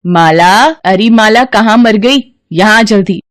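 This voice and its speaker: A woman's voice: mid-range voice, takes it slowly, close to an everyday voice. A woman playing a haughty, scolding rich mistress. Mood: impatient, scolding. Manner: haughty, bossy, scornful. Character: arrogant, greedy rich mistress scolding her maid.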